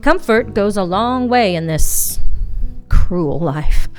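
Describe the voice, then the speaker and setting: A woman playing a jaded, principled young female detective in a noir radio drama, deliberate and sardonic. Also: medium pitch, lively intonation, faint music behind. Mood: defiant, wry. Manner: world-weary, sardonic, deliberate.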